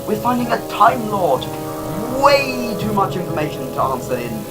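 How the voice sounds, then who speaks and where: A man's voice: sits high, very animated, moderate pace, loud music behind the voice, low-quality recording. Dazed alien time traveller, male actor: irritable, erratic, exclamatory, scored sci-fi audio drama. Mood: agitated explaining. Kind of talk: fan audio drama acting.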